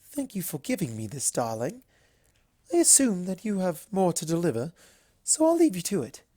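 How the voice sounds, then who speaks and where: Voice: mid-range voice, wildly swooping pitch, moderate pace, mellow, no echo, pitched above the performer's own voice. A narrator voicing a refined adult female cartoon unicorn who is genteel, weary and strained in politeness. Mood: polite, weary.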